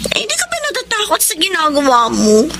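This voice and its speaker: A woman's voice: extremely high pitch, huge pitch swings, a little fast, noisy background, exaggerated voice. A woman playing an exasperated, jealous live-in girlfriend in an emotional, nagging Tagalog radio drama style. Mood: worried pleading. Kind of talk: Tagalog radio drama acting, with letter narration.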